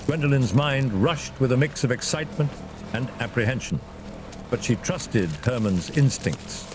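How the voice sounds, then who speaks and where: A man's voice: mid-range voice, expressive, normal speed, music in the background. A man narrating a scripted fantasy adventure in a dramatic storytelling style. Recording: clear vehicle and ambience noise behind.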